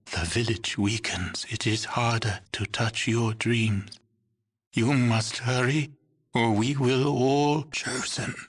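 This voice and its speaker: An aged village mystic for a video game. He speaks very slowly in a breathy, husky voice on the low side. His phrasing is solemn, ceremonious and full of cryptic poetry. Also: fairly bright tone, exaggerated voice. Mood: urgent, pleading. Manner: slow, ceremonious, poetic phrasing. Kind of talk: dramatic video game character acting.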